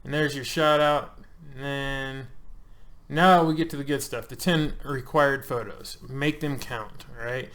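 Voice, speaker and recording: A man's voice: medium pitch, steady tone, a little slow, fairly bright tone. A man in his thirties, the host of a hobby channel, walking viewers through an online form in a loose, chatty way, with frequent ums and uhs and the odd joke. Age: 30s. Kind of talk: casual screen-share walkthrough.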